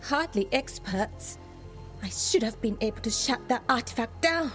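An earnest, serious expert in ancient magic with a somewhat high voice, as a woman plays her. She speaks with focused concern and is quick to blame herself. This is video game dialogue. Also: lively intonation, soft background music, lightly acted.

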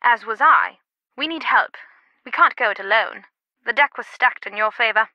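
A woman playing an earnest, slightly guarded and loyal confidante in a video game, speaking in a measured way. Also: a little high, expressive, bright, forceful tone, dry recording, highs cut off. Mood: earnest, insistent. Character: earnest, secretive female phone confidante, loyal and concerned.

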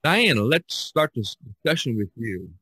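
An American man hosting a podcast in a conversational, halting and hesitant way. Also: medium pitch, very animated, bright, forceful tone, breathy edge, no echo. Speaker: podcast host.

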